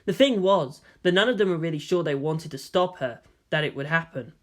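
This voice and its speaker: A man's voice: on the high side, brisk. A man reading fiction aloud as narrator, in a dramatic scripted style. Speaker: story narrator.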